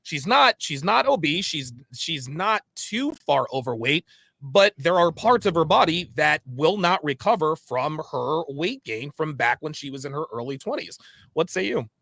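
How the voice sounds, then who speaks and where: Male livestream host, lively swooping intonation, emphatic, opinionated, repeated phrases, dry close sound. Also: fairly high pitch, normal speed, creaky, dry recording. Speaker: host of a livestream interview show. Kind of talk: opinionated livestream talk, putting questions to a guest.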